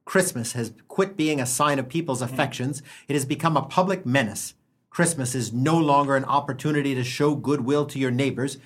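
An actor playing a sardonic, penny-pinching small-town husband in a comic radio play, blustering with a slightly husky voice. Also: male voice, medium pitch, brisk, close to an everyday voice. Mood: grumbling, ranting. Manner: blustering, sarcastic, declamatory.

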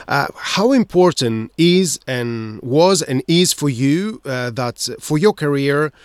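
A man's voice: on the high side, expressive, takes it slowly, clean tone, no room sound, studio quality. A man hosting a radio interview, asking polite and somewhat hesitant questions with many fillers. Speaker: radio host.

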